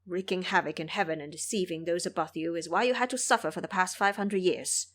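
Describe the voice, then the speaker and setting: A woman's voice: on the low side, a little fast, a bit bright. A woman playing a pious male Buddhist monk, an earnest master who admonishes and preaches in an audio drama. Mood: stern.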